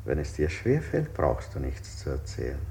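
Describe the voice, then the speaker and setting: An actor playing an interrogator who coaxes with falsely considerate pressure, deliberate and repetitive, in a 1960s German radio play. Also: male voice, extremely low pitch, wildly swooping pitch, darkish tone, slight background noise, full character voice. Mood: soothing, coaxing.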